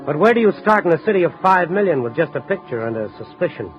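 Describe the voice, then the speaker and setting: An American actor playing a shrewd, wry newspaper reporter in a 1950s radio drama, speaking in a dry, measured, hard-boiled way. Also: male voice, fairly high pitch, very animated. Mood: brooding, wry. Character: shrewd, wry night-beat newspaper reporter, adult man. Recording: dull vintage radio recording with music.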